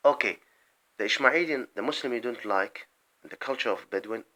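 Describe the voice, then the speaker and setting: A man delivering an impassioned, rambling political monologue, breaking off mid-sentence and swinging between loud outbursts and muttered asides. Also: mid-range voice, moderate pace. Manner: rambling, emphatic, often breaks off and restarts. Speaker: vlogger.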